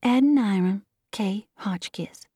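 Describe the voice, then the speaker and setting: Woman playing a shy but shrewd young country woman, storybook character, monotone, rustic wording, soft mellow tone. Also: medium pitch, slow, husky, no room sound, clean studio sound. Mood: flat, reciting. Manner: monotonous, as if reciting, rustic dialect.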